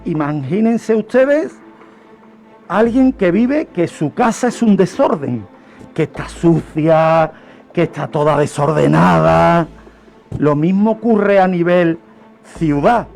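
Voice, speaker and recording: A man's voice: fairly high pitch, slow pace, gravelly, darkish tone, soft background music. A man presenting a history segment on the radio, telling it like an emphatic lecture full of rhetorical questions and repetition.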